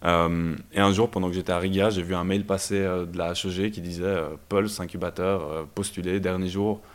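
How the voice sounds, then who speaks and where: Young dude, very low voice, chatty startup founder, lots of ums. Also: male voice, fairly quick. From Belgium. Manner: relaxed, many hesitations and fillers. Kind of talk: casual interview answers. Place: startup incubator office.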